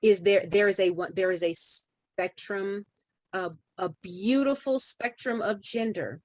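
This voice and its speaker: A woman's voice: medium pitch, unhurried, dry recording. A woman speaking as a podcast guest in conversation, emphatic and building her points through repeated phrases.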